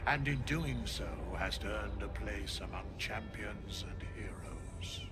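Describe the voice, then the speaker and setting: A man's voice: on the low side, noisy background. A man playing an ancient, solemn undead scribe in a fantasy game, with stately, portentous, archaic theatrical delivery. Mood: grave, portentous.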